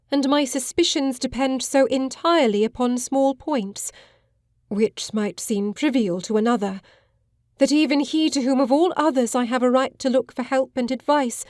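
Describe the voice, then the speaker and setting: An actress playing a frightened, distraught Victorian gentlewoman of thirty in an audiobook drama, with a formal, pleading manner. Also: fairly high pitch, no room sound, clean studio sound. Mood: anguished. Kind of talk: full-cast audiobook drama acting.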